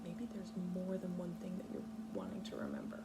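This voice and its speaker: A woman leading a read-aloud lesson for young children, speaking patiently in simple, deliberate, instructional phrases. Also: fairly low pitch, wildly swooping pitch, loud music behind the voice. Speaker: kindergarten teacher reading a picture book aloud.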